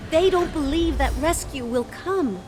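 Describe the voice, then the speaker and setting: A woman's voice: high voice, unhurried, very noisy. A woman playing a dazed, timid, devoted castaway in a video game, speaking in hesitant, simple phrases. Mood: dazed, fearful.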